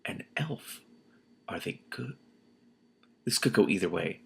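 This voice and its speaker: A man's voice: sits low, animated, slow pace, vocal fry, fairly bright tone, slightly rough. A man reading a novel aloud expressively, breaking off to comment and exclaim in his own words. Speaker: reader and commentator, host of a read-aloud series.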